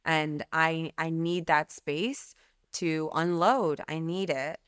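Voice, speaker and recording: A woman's voice: deep voice, fairly even intonation, slow pace, fairly bright tone, clear, resonant tone, dry recording, clean studio sound. A woman guest speaking frankly and emphatically in a candid podcast conversation, swearing casually. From Lebanese background. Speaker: guest, company CEO.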